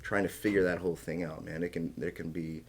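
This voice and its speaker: Deep-voiced American man chatting as host. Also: fairly even intonation, quick pace, gravelly, slight background noise. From small-town rural United States. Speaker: podcast host, interviewer. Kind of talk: casual chat, conversational interviewing.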